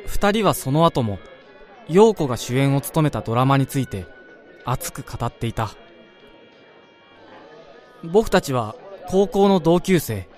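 A man's voice: big pitch swings, unhurried, long pauses, a little mellow, soft background music. A man acting in a radio drama as a reserved, detached friend who narrates and talks in an understated way. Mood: reflective. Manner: understated, low-key reactions.

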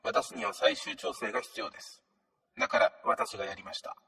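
A man playing a polite, calm AI smart speaker in a radio drama, speaking in formal, mechanical announcements with robotic processing. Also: fairly quick, far from the mic. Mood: polite, contrite.

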